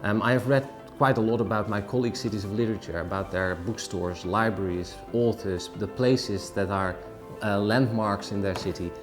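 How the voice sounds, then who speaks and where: Halting man with a lowish voice. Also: steady tone. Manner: halting, thoughtful, repeats words. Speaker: delegate. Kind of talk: interview. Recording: music playing behind.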